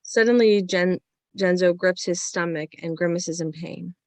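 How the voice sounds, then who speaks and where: A woman's voice: fairly low pitch, unhurried, no room sound. A woman narrating the stage directions of a screenplay in a scripted reading.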